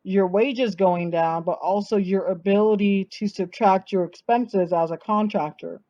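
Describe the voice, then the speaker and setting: Mellow woman host on a dull, dry recording. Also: medium pitch, steady tone, unhurried, no echo. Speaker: host, interviewer. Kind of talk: interview questions.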